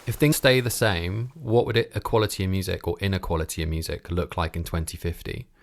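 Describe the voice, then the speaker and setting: Low-voiced man hosting a podcast. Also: fairly quick, no room sound, studio quality. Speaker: podcast host and interviewer. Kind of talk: conversational podcast intro and interviewing.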